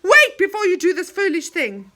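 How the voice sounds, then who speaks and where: A woman's voice: extremely high pitch, sing-song, moderate pace, pushed and bright, pitched above the performer's own voice, heavily acted. A woman voicing a bold, fierce little male creature from a storybook as he makes a threat.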